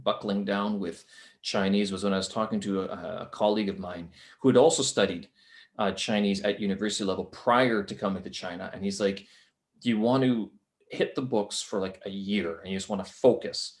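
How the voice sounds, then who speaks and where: A man's voice: lowish voice, fairly even intonation, fairly quick. A man hosting a podcast, chatting casually with a guest and adding joking asides. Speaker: podcast host. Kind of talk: casual interview chat.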